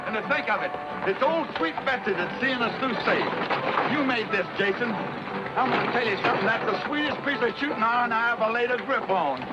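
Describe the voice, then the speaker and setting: An actor playing a folksy, plain-spoken frontier trapper in an American Western, with a rustic backwoods drawl. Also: male voice, extremely high pitch, brisk, over loud music. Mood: admiring, jovial. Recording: dull old recording with clear background noise.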